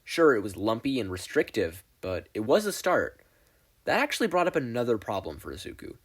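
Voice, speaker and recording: A man narrates fan fiction with a playful, wry touch. His voice is slightly rough and husky, and his delivery is animated, moving freely around a medium pitch. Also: brisk. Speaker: podfic reader.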